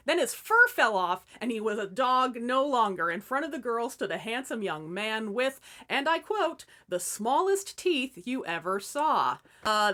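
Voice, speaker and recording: A woman's voice: on the high side, sharp tone. A woman hosting and narrating fairy tales as scripted comedy, with wry, irreverent asides. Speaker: host and storyteller.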